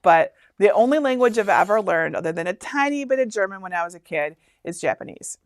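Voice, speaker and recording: A woman's voice: mid-range voice, animated, brisk, dry recording. A chatty woman in her fifties from Michigan, hosting a casual live stream and rambling as she reads instructions aloud. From Michigan, USA. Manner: chatty, rambling, laughs often. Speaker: host.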